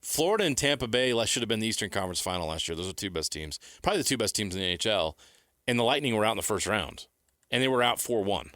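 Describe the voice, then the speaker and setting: A man's voice: lowish voice, animated, quick pace, a bit bright, no echo, studio quality. A man co-hosting a sports talk show, giving casual, conversational analysis. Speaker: sports talk radio co-host.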